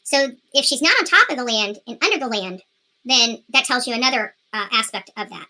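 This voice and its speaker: A woman with an American accent talks to her viewers as a live commentator. Her voice sits high and has a very sharp, forceful edge. She is emphatic, often restating a phrase to drive it in. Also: lively intonation, a little fast. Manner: emphatic, repeating phrases for stress. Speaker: livestream host and commentator.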